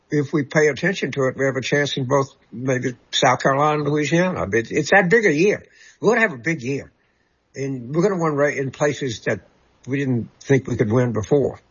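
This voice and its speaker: A male political commentator answers callers with a husky voice. He halts often and repeats words as he searches for what to say. The sound is a little dull and muffled. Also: mid-range voice, a little fast, fairly bright tone. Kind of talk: call-in talk show guest.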